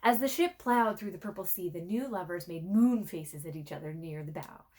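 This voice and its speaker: A woman's voice: fairly low pitch, moderate pace, a little mellow. A woman reading a fairy tale aloud as a storyteller, theatrical and playfully conspiratorial. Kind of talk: expressive scripted narration of a fairy tale.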